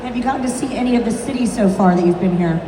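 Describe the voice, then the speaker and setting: A woman's voice: on the high side, racing, noisy background. A woman hosting a convention panel, putting upbeat questions to the guests. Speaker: panel host. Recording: echoey convention hall, crowd, distant PA.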